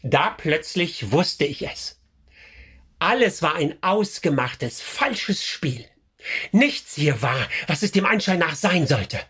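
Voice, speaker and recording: An actor playing a troubled medieval youth, narrating gravely and dramatically in a radio play. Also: male voice, fairly high pitch, very animated, slow, bright, forceful tone, full character voice. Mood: horrified, grave.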